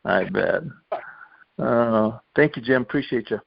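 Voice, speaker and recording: A man with a gravelly, creaky voice chats as a caller. He sounds friendly and informal. The phone audio is dry and somewhat dull. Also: mid-range voice, unhurried, no room sound. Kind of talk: casual chat.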